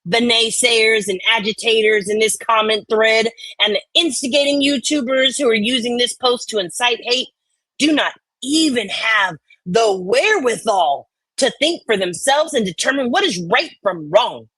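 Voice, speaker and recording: A woman's voice: fairly high pitch, expressive, moderate pace, a bit bright, breathy edge, dry recording, poor audio. A woman co-host doing irreverent, sweary dramatic readings of online comments mixed with banter.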